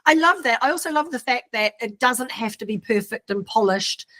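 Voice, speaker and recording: A woman's voice: a little high, expressive, brisk, pushed and bright. A woman with an Australian accent hosting a podcast, encouraging her guest and summing up what she says. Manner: encouraging, affirming, sums up the guest's points. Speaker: podcast host. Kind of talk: interviewing in casual conversation.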